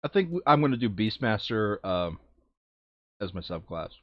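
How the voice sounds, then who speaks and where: A man's voice: fairly low pitch, sing-song, moderate pace, no echo, highs cut off. A man chatting casually while playing a game, thinking aloud and muttering hesitantly to himself. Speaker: player setting up a co-op board game campaign.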